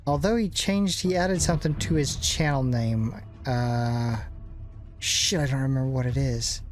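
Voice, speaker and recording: Young guy rambling and joking while he plays a war strategy game, game music going loud behind him. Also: mid-range voice, unhurried. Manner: rambling, joking, self-deprecating asides. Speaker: gaming channel host. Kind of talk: casual gameplay commentary.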